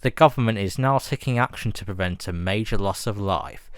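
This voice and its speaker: A man reading a scripted emergency broadcast announcement in a formal, measured and grave manner. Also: on the low side, dry recording.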